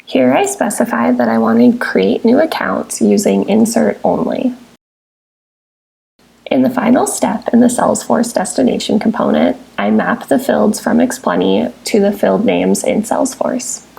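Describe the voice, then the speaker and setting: Husky, creaky woman narrating a software tutorial. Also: mid-range voice, wildly swooping pitch, unhurried, rough recording. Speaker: software tutorial presenter, technical support engineer. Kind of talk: scripted step-by-step screencast narration.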